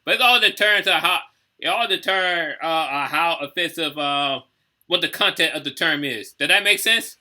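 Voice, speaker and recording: A man in his early thirties hosts a reaction video. His voice is very bright and sits a little high for a man. He rambles in casual commentary and keeps circling back to check that he is understood. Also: normal speed. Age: 30s. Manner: rambling, repeats "you know what I'm saying".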